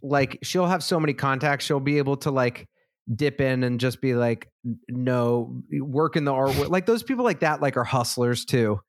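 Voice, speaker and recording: A man's voice: mid-range voice, moderate pace, dry recording. Dry-voiced man reading listener replies. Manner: relaxed, swears casually, reads out listener responses. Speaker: podcast co-host. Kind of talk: casual chat. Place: lives in a big city.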